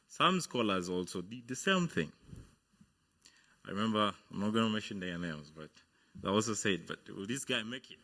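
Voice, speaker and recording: Low, bright-voiced man from Uganda. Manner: hesitant, many fillers and restarts. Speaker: guest speaker, business founder. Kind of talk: motivational speech. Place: on stage at a university event.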